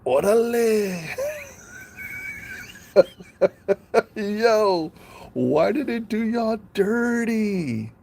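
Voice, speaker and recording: A man's voice: high voice, animated, very slow, vocal fry, pauses often, mellow, slight background noise. A man chatting casually on a live stream, playful, laughing often and stumbling over names.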